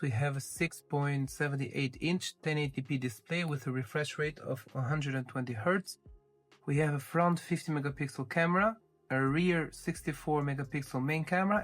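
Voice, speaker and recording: A man presenting a casual tech unboxing, explaining things step by step with small hesitant pauses. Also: a little high. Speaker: tech reviewer presenting his channel.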